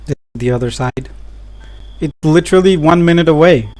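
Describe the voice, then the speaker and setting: A man's voice: on the high side, moderate pace, darkish tone. A man giving casual playthrough commentary in short, wry interjections as a gaming video creator.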